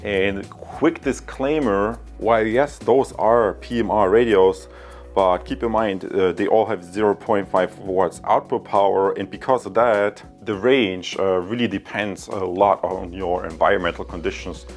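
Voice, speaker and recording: Low-voiced man casually reviewing products. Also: steady tone, a little slow, soft background music. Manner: repeats phrases and figures. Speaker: product reviewer and channel host.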